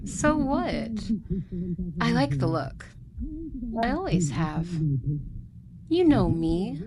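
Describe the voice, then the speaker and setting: Girl doing a christmas-crazy elf roommate, cheery and chatty, kinda high voice, really slow asmr roleplay delivery. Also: very noisy. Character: cheerful, Christmas-obsessed female elf passing as human roommate. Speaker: roleplay voice actress.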